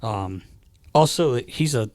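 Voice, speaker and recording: A man's voice: mid-range voice, expressive, takes it slowly, a little mellow, no room sound. A man co-hosting a sports podcast in a studio, chatting casually with the others. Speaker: podcast co-host.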